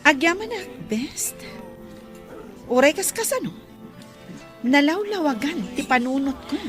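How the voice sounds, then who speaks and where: A woman's voice: high voice, very animated, measured pace, fairly bright tone, heavily acted. A woman playing a worried resort-owning madam in an Ilocano radio drama, speaking earnestly and with animation. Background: music under the voice.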